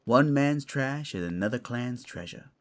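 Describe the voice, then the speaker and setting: A man playing a gruff, bookish older lord in a fantasy audio drama, wry, loyal and theatrical. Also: mid-range voice, expressive, a little slow, lightly acted. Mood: wry, musing.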